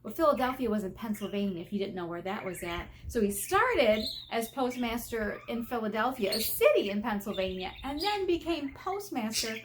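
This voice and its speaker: American female elementary teacher for children's read-aloud, higher-pitched, very animated, expressive teacherly delivery. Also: normal speed, few pauses, background noise. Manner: expressive, teacherly, emphasizes and repeats key words, questions listeners. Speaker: elementary teacher reading a picture book aloud.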